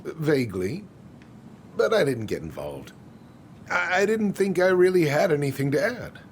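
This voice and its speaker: An actor playing an evasive, folksy middle-aged small-town man in a naturalistic video game drama, with a creaky voice. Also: a little high, very animated, moderate pace, a little hiss or hum. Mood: evasive, defensive.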